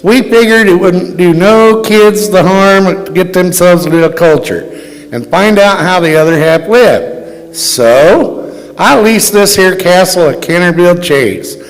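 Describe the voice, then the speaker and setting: A blunt, skeptical American family man, acted by a man in old-time radio style. His voice sits high for a man and he talks in a slow, folksy, ungrammatical way. It rings in a reverberant hall. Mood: folksy storytelling. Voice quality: folksy, ungrammatical rural speech. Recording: echoey hall, stage performance.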